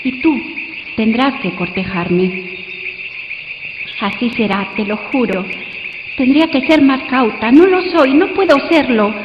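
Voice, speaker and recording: A woman playing a lovestruck young noblewoman, coy and passionate, in a theatrical, ardent classical radio play. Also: a little high. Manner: theatrical, ardent, swinging between bold and hushed. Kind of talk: live radio play, classical romantic drama. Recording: muffled, noisy, echoey hall with crickets. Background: cricket sound effects.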